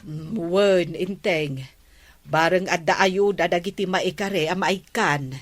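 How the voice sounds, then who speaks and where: Earnest captain's wife, Ilocano accent, lowish voice, unhurried pace, radio drama acting. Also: female voice, dry recording. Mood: patient, explaining.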